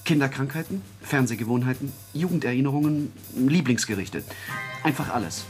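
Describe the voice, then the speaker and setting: An actor playing a pushy newspaper reporter in a radio drama, talking urgently in clipped, conspiratorial phrases. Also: male voice, medium pitch, lively intonation, a little fast, faint music behind, lightly acted. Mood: urgent, scheming. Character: pushy, scheming male newspaper reporter on the phone. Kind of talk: German radio drama acting.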